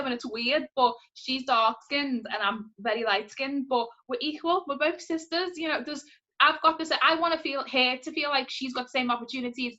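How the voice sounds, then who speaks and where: A woman's voice: high voice, sharp tone, highs cut off. A woman co-hosting a podcast, talking with passion and emphasis in casual chat, speeding up in bursts. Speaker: podcast co-host.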